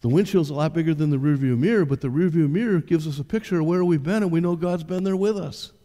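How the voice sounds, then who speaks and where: An older pastor preaches from a church stage in a mellow, slightly high voice. He talks to the congregation conversationally and keeps putting questions to them. A long hall reverb trails each phrase. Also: fast. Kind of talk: sermon.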